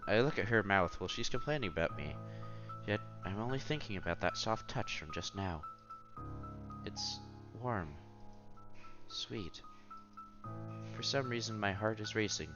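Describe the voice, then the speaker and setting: A man's voice: lowish voice, expressive, measured pace, long pauses, highs cut off, music in the background. A man reading visual novel narration aloud as a streamer, expressively, with casual asides and exclamations.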